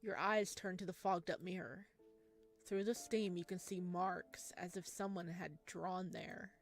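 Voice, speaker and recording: A solemn horror storyteller, voiced by a woman, unfolding a creepy visual novel in slow, hushed, suspenseful phrases. Music plays underneath. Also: lowish voice, fairly even intonation. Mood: uneasy, suspenseful. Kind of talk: dramatic visual novel narration. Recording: music behind the voice.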